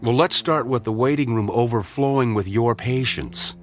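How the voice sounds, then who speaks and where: An actor playing a blunt, sardonic fellow doctor questioning a colleague, in an old-time radio drama. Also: male voice, mid-range voice, normal speed, faint music behind, lightly acted. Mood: probing. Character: skeptical male colleague doctor, probing.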